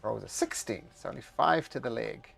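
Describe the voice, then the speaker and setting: A man with a gravelly edge to his voice, speaking in a mixed, hard-to-place accent. He runs a tabletop game online in a laid-back way, calling out rolls and damage. Faint music plays under him. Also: medium pitch, animated, normal speed, fairly bright tone. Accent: mixed international accent. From New Zealand. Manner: laid-back, narrates dice rolls plainly. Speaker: game master. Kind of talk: running a tabletop game over voice chat.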